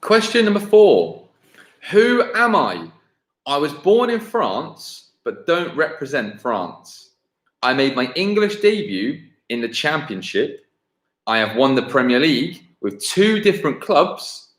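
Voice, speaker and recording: A man's voice: fairly high pitch, very animated. A man hosting a live-streamed football quiz, repeating questions and chatting casually with viewers. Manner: repeats each question slowly and clearly, chats to viewers. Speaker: quiz host. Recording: roomy, echoing home livestream sound.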